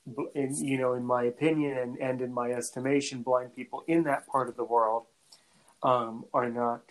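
Halting North American male podcast host. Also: medium pitch, little pitch movement, poor audio. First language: English; French is his second language. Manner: halting, often repeats words before finishing a thought. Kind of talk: conversational interview.

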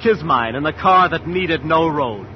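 A man's voice: a little high, expressive, slight background noise. An actor playing an earnest, romantic young man telling his tale in dramatic 1940s radio style. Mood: awed, dramatic. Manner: measured, dramatic. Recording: muffled old 1940s radio, music behind.

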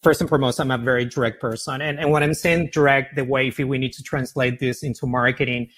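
A man from Argentina with an Argentine Spanish accent, a founder and CEO answering interview questions in an animated way, often repeating words as he thinks. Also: medium pitch, fast.

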